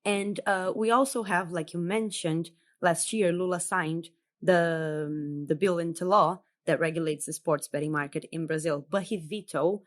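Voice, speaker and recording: Woman, native portuguese speaker, low voice, chatty, many fillers, remote guest. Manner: chatty, filler-heavy, laughs between points. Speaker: journalist guest. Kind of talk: casual podcast interview. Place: home in Brazil, remote call.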